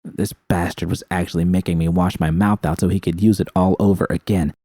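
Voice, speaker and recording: A man reading first-person fiction as an audiobook, with tense, expressive dramatic storytelling. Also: sits low, fairly quick, dry recording, studio quality.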